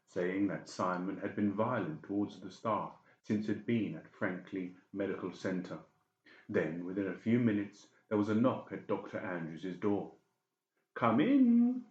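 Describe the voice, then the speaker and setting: A man's voice: sits low, roomy sound, lo-fi sound. A man narrating a horror audiobook in a dramatic, scripted storytelling style.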